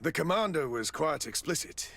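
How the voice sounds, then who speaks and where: An actor playing a smooth-talking, evasive official in a video game, formal and polite but demanding. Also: male voice, a little high, expressive, normal speed, fairly bright tone, dry recording, exaggerated voice. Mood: businesslike, pressing. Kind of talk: video game cutscene acting.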